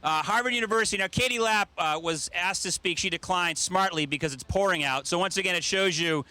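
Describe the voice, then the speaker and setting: A man's voice: sits high, brisk, bright, forceful tone, slight background noise. A man speaking informally and off the cuff as an official host, introducing speakers with folksy joking at an outdoor event. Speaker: government official hosting a ceremony. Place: outdoor public event in rain.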